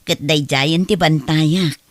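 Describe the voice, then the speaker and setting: Woman acting low-voiced humble Ilocano mother. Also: slow, no echo, studio quality. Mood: humble, grateful. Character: humble, hardworking, self-sacrificing middle-aged mother. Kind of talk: Ilocano audio drama acting.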